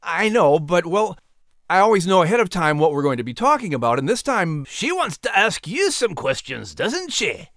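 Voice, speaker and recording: A man's voice: high voice, sing-song, normal speed, a bit bright, no echo, studio quality, heavily acted. A man playing a nervous, fretful announcer in comic children's podcast banter, stammering and flustered. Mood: worried.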